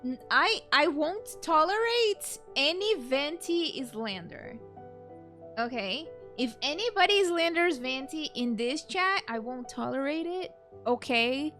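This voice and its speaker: A woman's voice: sits high, expressive, takes it slowly, a bit bright, faint music behind. A young woman streaming a game with casual, slangy, playful and opinionated commentary.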